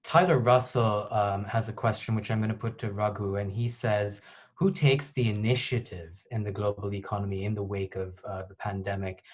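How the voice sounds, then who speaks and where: A man's voice: on the low side, steady tone, moderate pace. A man moderating a panel discussion as host of a conference call. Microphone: conference call line. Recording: dull, band-limited conference call line.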